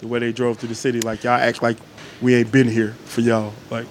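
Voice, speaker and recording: A man's voice: medium pitch, little pitch movement, brisk, slight background noise. A middle-aged man, a podcast guest telling loose, joking, profane stories in casual chat. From Atlanta, Georgia. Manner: laid-back, joking, profane storytelling.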